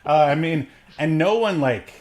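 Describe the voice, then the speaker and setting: A man co-hosting a podcast, talking casually and haltingly about entertainment news, often chuckling mid-sentence. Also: fairly high pitch, animated, over a noisy crowd. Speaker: podcast co-host. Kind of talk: casual chat, movie news commentary.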